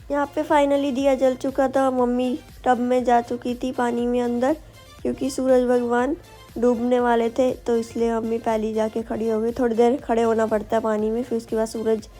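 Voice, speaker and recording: A woman's voice: a little high, dark tone. Woman vlogger with a sore throat. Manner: explaining each step. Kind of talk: voice-over narrating a family festival.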